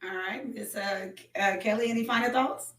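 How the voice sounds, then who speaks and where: American lady podcast host just chatting, normal pitch, really echoey room. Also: fairly even intonation, moderate pace, slight background noise. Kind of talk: casual chat. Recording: roomy, echoey sound.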